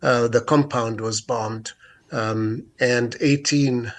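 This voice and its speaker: A man's voice: medium pitch, fairly even intonation, slow pace. Man from Jerusalem, middle-aged, radio interview guest, hesitant, lots of restarts. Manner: hesitant, many fillers and restarts. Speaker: guest activist.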